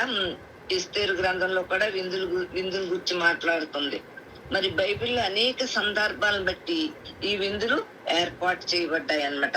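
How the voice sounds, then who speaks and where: A woman's voice: medium pitch, sharp tone, poor audio. A woman with a coastal Andhra accent preaching a Bible sermon on a group call, explaining point by point, repeating phrases and asking rhetorical questions. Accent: coastal Andhra Telugu. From Andhra Pradesh, India. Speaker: lay preacher. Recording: faint background noise on conference call.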